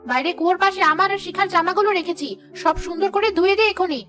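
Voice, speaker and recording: A woman voicing a harsh middle-aged stepmother in a cartoon, sharp and sarcastic. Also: very high-pitched, fast. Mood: scolding. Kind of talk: cartoon dubbing.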